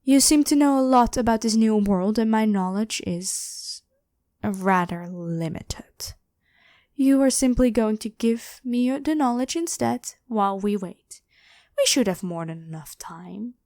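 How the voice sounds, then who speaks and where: A woman's voice: fairly high pitch, animated, measured pace, dark tone, no echo, clean studio sound. A woman voicing an evil ancient pharaoh in an ASMR roleplay, imperious, demanding and scornful as she addresses the listener. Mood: imperious, menacing. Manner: haughty, commanding, theatrically scornful.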